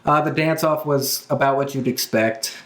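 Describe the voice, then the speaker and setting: A man's voice: mid-range voice, steady tone, normal speed, fairly bright tone. Man, podcast co-host, casual conversational storytelling, echoey room sound. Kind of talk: casual chat, recounting an event.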